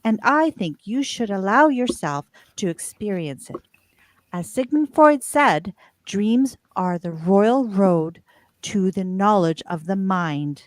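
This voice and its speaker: A woman playing a gentle, encouraging ship's counselor in an amateur radio play. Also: mid-range voice, expressive, takes it slowly, no room sound. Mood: caring, reassuring. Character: reassuring female ship's counselor.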